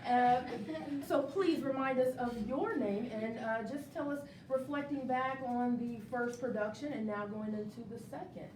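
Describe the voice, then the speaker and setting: A woman's voice: moderate pace, a little mellow. A woman hosting a casual group interview, encouraging and often repeating words in affirmation. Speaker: host interviewing a stage play cast. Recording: echoey room, distant mic, rumbling noise.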